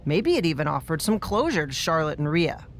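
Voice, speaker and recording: A woman's voice: deep voice, very animated, fairly quick, slight background noise. A woman playing an easygoing veteran pro wrestler in a video game cutscene, wry and candid as she talks. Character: easygoing veteran female wrestler seeking a grudge match.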